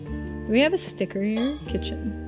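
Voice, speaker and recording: A woman's voice: a little high, expressive, normal speed, music in the background. A woman streaming a game with casual, offhand playthrough commentary.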